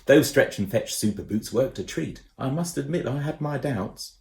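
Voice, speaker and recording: A bold, cheeky girl inventor teases her friend in a breezy, lilting voice, as a man reads her part. Her pitch swings in lively arcs, and the storybook reading has a roomy echo. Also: normal speed, gravelly. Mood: teasing. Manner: breezy, teasing, shouting from afar.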